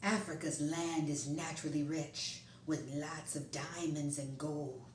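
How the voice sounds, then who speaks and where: A woman reading a children's picture book aloud, often in a hushed near-whisper. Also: low-pitched, fairly even intonation, unhurried. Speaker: storyteller. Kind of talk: children's story read-aloud. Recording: roomy, distant, faint background noise.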